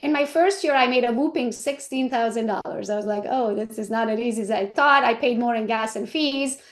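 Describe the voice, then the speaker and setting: A middle-aged woman, from Romania, speaking as an interview guest in a lively, self-joking way that ends many thoughts with "right?". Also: on the high side, brisk. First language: Hungarian. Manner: lively, jokes about herself, tags "right?". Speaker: guest, real estate agent and coach. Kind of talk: casual interview talk.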